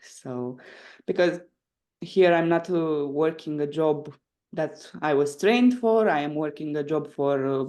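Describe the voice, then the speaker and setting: A woman's voice: low voice, measured pace, a little mellow. A woman in her twenties from Romania with a Romanian accent, answering interview questions casually and hesitantly, searching for words in her non-native English. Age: 20s. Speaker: podcast guest.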